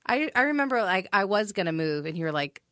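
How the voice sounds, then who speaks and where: A woman's voice: medium pitch, very animated, quick pace, a bit bright, no room sound, clean studio sound. A woman chatting casually as a guest voice actress, playful and teasing, often jumping in with short interjections. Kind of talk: casual chat.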